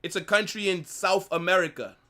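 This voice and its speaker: A man's voice: high-pitched, brisk. A man hosting a live football watch-along, chatting casually and reacting to his chat. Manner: animated banter, reading chat aloud. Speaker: live stream host.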